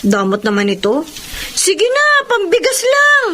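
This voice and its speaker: Bright, high, wheedling Filipino woman. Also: wildly swooping pitch, unhurried, slight background noise, exaggerated voice. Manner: wheedling, teasing. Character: wheedling, teasing female relative cadging rice money. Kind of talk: Filipino radio drama acting.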